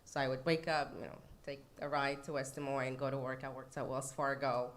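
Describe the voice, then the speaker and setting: Very low-voiced woman from Sudan. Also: flat delivery, a little fast. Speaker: alumni panelist. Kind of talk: panel talk at a keynote.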